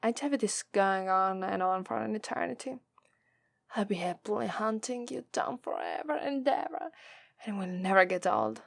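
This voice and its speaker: A woman's voice: medium pitch, big pitch swings, vocal fry, no room sound. A woman with an Italian accent voicing a haughty, menacing female demon who taunts and condescends in an ASMR roleplay. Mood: gleeful menace. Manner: condescending, drawn-out, taunting delivery.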